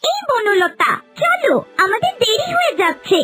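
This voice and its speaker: A woman's voice: very high-pitched, big pitch swings, moderate pace, bright, forceful tone, soft background music, full character voice. A woman voicing an anxious young girl companion in a fairy-tale cartoon, fretting and urging. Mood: worried, urging. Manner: urging, worried.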